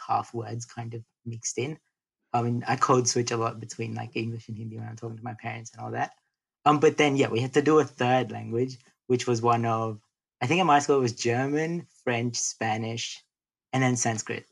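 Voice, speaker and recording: A young man from North India, chatting casually as a podcast guest in a relaxed, rambling way full of fillers and restarts. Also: medium pitch, gravelly, slightly rough. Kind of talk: casual interview chat.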